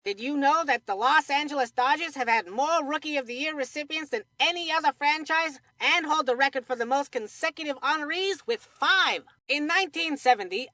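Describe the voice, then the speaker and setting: Casting: chatty child sportscaster in a cartoon video game, bright high voice, upbeat trivia-buff delivery, dry studio sound. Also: female voice, dry recording. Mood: cheerful, informative. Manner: chatty, folksy. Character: chatty kid baseball commentator, cheerful trivia buff. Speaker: sports commentator. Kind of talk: scripted game commentary, baseball trivia.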